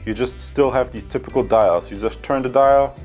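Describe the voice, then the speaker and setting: A man's voice: medium pitch, moderate pace, darkish tone, faint music behind. A man from Germany with a German accent, presenting a casual product review in an informal, chatty way. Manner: informal, chatty, addresses viewers as guys. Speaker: product reviewer and channel host.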